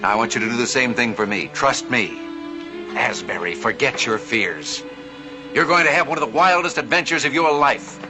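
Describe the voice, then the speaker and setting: An actor playing a confident, persuasive banker who schemes, in an old western TV drama. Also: male voice, mid-range voice, lively intonation, moderate pace, natural voice. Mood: earnest. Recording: dull old TV soundtrack with music.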